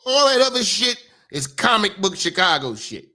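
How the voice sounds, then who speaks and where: Man, commentary host, brash comic rant, raised pitch, shouting at times. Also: normal speed. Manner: loud, profane, animated, often shouting.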